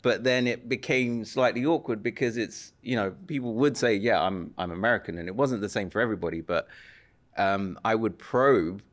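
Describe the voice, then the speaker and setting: A man with a British accent, a podcast guest, telling his story in a measured, reflective way. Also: on the low side. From United Kingdom. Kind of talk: casual podcast conversation.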